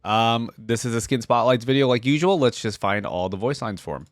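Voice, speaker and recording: A man's voice: medium pitch, brisk, no room sound, clean studio sound. A young man hosting a reaction video, commenting casually as he goes.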